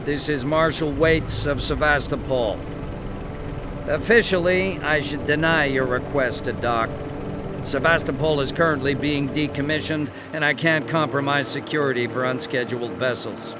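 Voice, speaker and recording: A man's voice: on the high side, moderate pace, very noisy, lightly acted. A man playing a stern station marshal. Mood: formal. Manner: clipped, official radio-message delivery. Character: stern male station marshal, middle-aged, formal and guarded.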